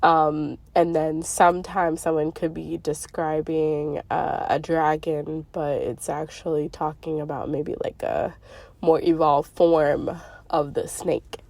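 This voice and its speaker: A woman's voice: low-pitched, level tone, slow pace, darkish tone, no room sound. A woman hosting a spiritual channel, talking in a chatty, rambling way with many fillers. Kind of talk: casual spiritual talk.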